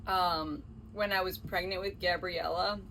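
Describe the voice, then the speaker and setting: A woman's voice: on the low side, steady tone, a little slow, sharp tone, a little hiss or hum, low-quality recording. Tired rambling young woman. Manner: rambling, trailing off mid-sentence. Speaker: podcast host. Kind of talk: casual chat.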